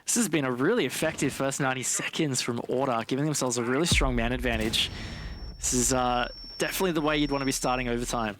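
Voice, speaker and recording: A man with a medium-pitched voice gives live analysis of an esports match. He sounds informal and explanatory, talking it through as if in conversation. Faint noise sits behind his voice. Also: fast, a bit bright, rough recording.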